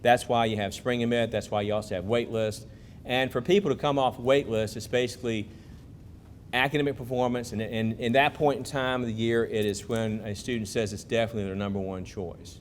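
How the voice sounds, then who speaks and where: A man's voice: mid-range voice, steady tone, a little fast. Guy explaining college admissions to parents, chatty, talks with the crowd, slight background hum. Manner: conversational, rhetorical questions to the audience. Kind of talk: presentation to parents with Q&A. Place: school event hall.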